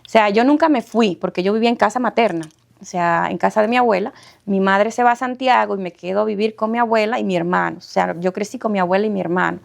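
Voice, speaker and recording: A middle-aged woman with a Dominican accent, the guest of an interview podcast, telling her life story in a lively way with frequent laughter. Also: medium pitch, fast talker, vocal fry. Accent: Dominican Spanish. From Dominican Republic. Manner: warm, animated storyteller, laughs often.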